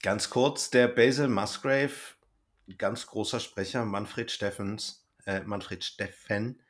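A man hosts a relaxed, conversational podcast review. He comments as a keen fan, in an informal chatty style. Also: on the low side, takes it slowly. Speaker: podcast host discussing an audio drama.

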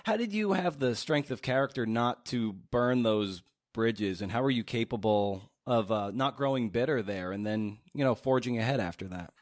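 An American man hosting a podcast interview, asking long questions in halting, searching phrases. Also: medium pitch, no room sound, studio quality.

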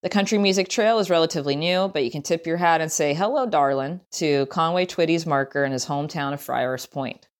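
A woman's voice: low voice, brisk, hardly any pauses. A woman reading a travel story aloud as scripted narration.

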